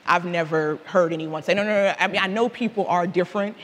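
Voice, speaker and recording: Guy w high voice, casual panel chat, repeats himself, big echoey room, some noise behind. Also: fast talker, fairly bright tone. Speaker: panel guest from a church. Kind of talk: casual conversational opinion. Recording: reverberant hall with clear background noise.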